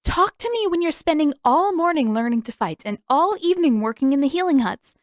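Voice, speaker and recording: A woman's voice: high-pitched, animated, a little fast, dry recording, clean studio sound, pitched above the performer's own voice. A woman voicing a sarcastic, stubborn teenage girl in an audio story, emphatic and defensive. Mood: exasperated.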